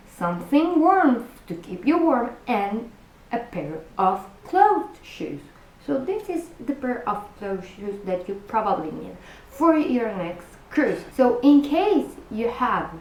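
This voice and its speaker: A woman's voice: on the high side, darkish tone, reverberant, low-quality recording. A woman from Buenos Aires with an Argentine Spanish accent, a native Spanish speaker giving cruise packing tips in a chatty vlog. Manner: chatty, restarts and self-corrections, direct address to viewers. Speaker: cruise vlogger.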